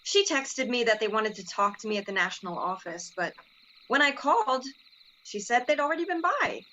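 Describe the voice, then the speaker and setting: A woman playing an encouraging young sorority sister in a dramatic reading, gentle yet authoritative. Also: a little high, sing-song, normal speed, muffled recording. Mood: inquiring.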